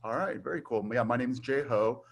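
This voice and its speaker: A middle-aged man from Taiwan, raised in Southern California, chatting as a guest in a relaxed way with frequent fillers. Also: mid-range voice, a little fast. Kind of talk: casual conversation.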